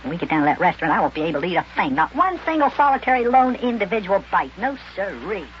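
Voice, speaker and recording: Indignant, folksy elf boy, very animated pitch, vintage radio serial with muffled sound. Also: fast. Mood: disgusted grumbling. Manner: grumbling, exclamatory, folksy. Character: Sparkie, indignant squeaky little boy elf. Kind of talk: 1950s children's radio serial acting. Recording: dull, noisy old radio recording.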